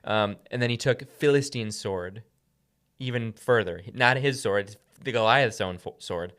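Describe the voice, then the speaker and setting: Male podcast co-host, low voice, casual scripture discussion, explanatory, repeats key phrases. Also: a little slow, a bit bright.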